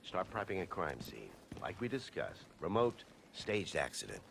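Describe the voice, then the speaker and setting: Grandiose, scheming villain, animated delivery. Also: male voice, mid-range voice, unhurried, a little hiss or hum. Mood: smug, menacing. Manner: grandiose, theatrical speechifying. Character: scheming, smug villain leading a secret society. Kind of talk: dramatic video game acting.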